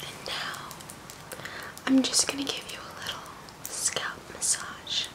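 Whispering woman, slow ASMR delivery. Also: poor audio. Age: young adult. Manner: breathy, slow, vain, girly intimacy. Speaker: ASMR video host in a comic persona. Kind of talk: whispered ASMR role-play. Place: small bedroom, indoors.

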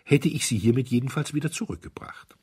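A man voicing a gentle, serenely cheerful priest in an audiobook, with an unhurried, good-humoured solemnity. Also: mid-range voice, slightly rough, pitched above the performer's own voice. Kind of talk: audiobook character acting.

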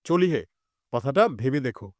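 A man's voice: medium pitch, sing-song, moderate pace, no echo, clean studio sound, natural voice. A man playing a meddling, scolding older village gossip in an audio drama, insinuating and admonishing. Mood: reproachful admonishing. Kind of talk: Bengali audio drama acting.

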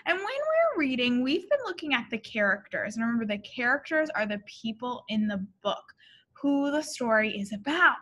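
A woman in her thirties, an expressive teacher reading a picture book aloud to young children and explaining deliberately. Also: on the high side. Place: school classroom, indoors.

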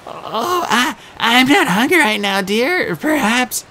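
A man voicing a timid young male satyr who stammers and pleads in a high, nervous voice. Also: big pitch swings, slow, sharp tone, slight background noise, exaggerated voice. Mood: nervous pleading.

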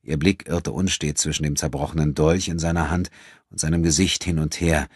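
Ein Mann, der als Hörbucherzähler einen literarischen Text dramatisch vorliest. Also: male voice, a bass voice, fairly even intonation, brisk, husky, no echo, studio quality. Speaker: audiobook narrator. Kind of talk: dramatic scripted literary narration.

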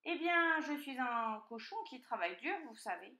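Here is a woman acting out a sly little boy piglet from a storybook, high and very animated. He pleads with exquisite politeness and fake innocence, in a roomy, echoing space. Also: moderate pace. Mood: wheedling. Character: cunning young piglet, polite and wheedling, male. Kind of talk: children's storybook character voice.

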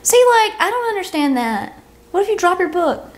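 A woman's voice: very high voice, big pitch swings, normal speed. A young adult woman co-hosting a podcast in casual chat, with a teasing, incredulous way of asking questions. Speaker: podcast co-host. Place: living room, on a couch.

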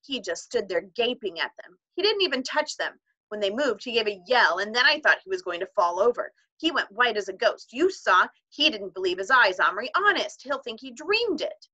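A woman doing a high, tearful pleading schoolboy. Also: sing-song, moderate pace, bright, forceful tone, full character voice, rough recording. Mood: desperate pleading. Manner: high, tremulous and urgent.

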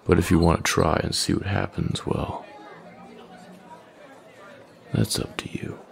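Male actor as menacing young bully, boyfriend ASMR roleplay, low pitch, thick gravelly creak, taunting, deliberate, smug. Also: fairly even intonation, some chatter behind, exaggerated voice. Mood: taunting threat. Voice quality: deep, gravelly, breathy at line ends. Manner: taunting, deliberate, drawling threats.

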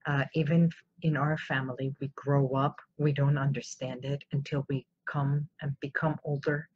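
A woman's voice: very deep voice, little pitch movement, moderate pace, a little mellow, slightly rough, muffled recording. A woman in her fifties from Iran, a native Armenian speaker, gives an informal motivational talk to camera as host, explaining emphatically with rhetorical questions. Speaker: host of a self-help live show.

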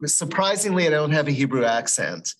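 A man's voice: a little high, big pitch swings, brisk. A middle-aged man from the United States giving an informal talk in a large meeting hall, telling his story with many fillers, picked up by a distant room mic. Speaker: guest educator. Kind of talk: informal lecture.

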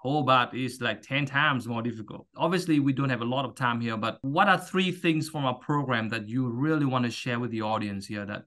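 Bright, forceful male coaching host. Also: mid-range voice, brisk, hardly any pauses. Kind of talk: interview hosting, coaching talk. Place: indoors, on a video call. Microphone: video call.

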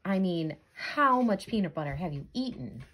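A woman's voice: on the low side, expressive, normal speed, a bit bright, pitched below the performer's own voice. A woman voicing an anxious, exasperated jellyfish, fretful and incredulous, in a picture-book read-aloud.

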